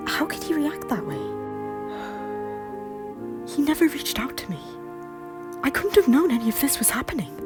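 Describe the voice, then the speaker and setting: An anxious young prince, played by a woman, with a fairly high, lively voice that swings up and down. He sounds worried and apologetic, with music clearly audible behind. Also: normal speed, long pauses. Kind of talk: fan audio drama acting.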